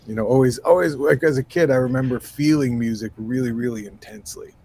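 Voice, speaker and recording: A man with a low, raspy voice talks as a podcast guest. He speaks in an animated, rambling way, with lots of "you know" and "like." He sounds like a relaxed man in his thirties chatting about his life. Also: fast talker, a little hiss or hum. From New York State. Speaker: guest musician. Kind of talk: casual interview conversation.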